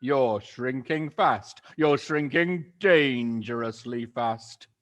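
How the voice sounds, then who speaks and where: A man voicing a cruel, gloating old husband who taunts slowly and mockingly. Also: mid-range voice, fairly bright tone. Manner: slow, drawn-out and mocking.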